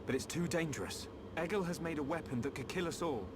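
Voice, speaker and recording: Young male lead played by an actor, sincere and resolute, lighter higher pitch, game cutscene, noisy backdrop. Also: fast, lightly acted. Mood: urgent, resolute. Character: earnest, polite, determined young male hero. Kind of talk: video game cutscene voice acting.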